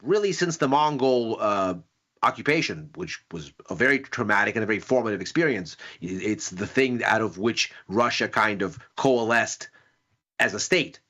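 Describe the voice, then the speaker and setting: A man's voice: on the low side, animated, normal speed, bright, forceful tone. A man on a casual talk panel, explaining a point at length in a thoughtful way. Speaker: panel guest.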